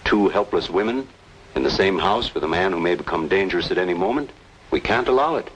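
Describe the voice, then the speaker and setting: An actor playing a grave, formal doctor, deliberate and insistent, in an old-time radio noir drama. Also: male voice, low-pitched, expressive, fairly bright tone. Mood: grave, cautioning. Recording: dull, noisy old radio recording.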